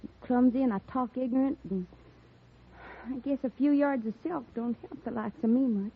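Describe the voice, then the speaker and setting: Young frontier tomboy: high, husky, shy but rough-tongued, backwoods Western radio drama, muffled vintage sound. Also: female voice, normal speed. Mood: shaky, wary. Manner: unpolished backwoods Western dialect. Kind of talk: old-time radio drama acting.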